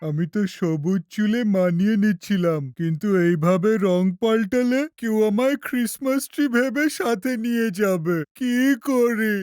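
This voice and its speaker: A performer voicing a greedy, excitable, childlike cartoon character in an exclamatory, playful way for a children's dub, with music underneath. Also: medium pitch, moderate pace, few pauses, natural voice. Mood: worried.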